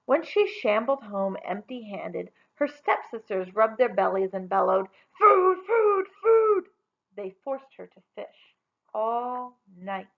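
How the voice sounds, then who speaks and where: A woman reading a children's story aloud in a dramatic, deliberate storytelling manner. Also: mid-range voice, creaky, highs cut off, a little hiss or hum. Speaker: storybook reader. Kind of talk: expressive children's read-aloud narration.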